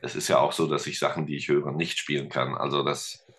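A man's voice: rumbling low, level tone, fairly quick, a bit bright, a little hiss or hum. A middle-aged man chatting in an interview, rambling with lots of fillers and self-deprecating humor. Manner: rambling, hesitant, many fillers. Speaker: guest, teacher and musician. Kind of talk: casual interview chat.

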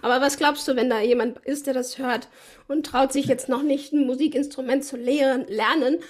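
A woman's voice: high-pitched, fairly even intonation, normal speed. A woman hosting a podcast, asking friendly, encouraging questions in casual conversation. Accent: German. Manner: friendly, encouraging, laughs often. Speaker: podcast host. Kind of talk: casual interview talk.

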